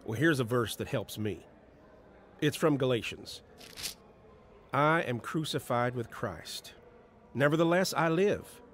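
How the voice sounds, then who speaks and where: An actor in an audio drama playing a steady, devout friend and mentor with a measured, exhorting way of talking. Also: male voice, mid-range voice, slight background noise. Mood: earnest counsel.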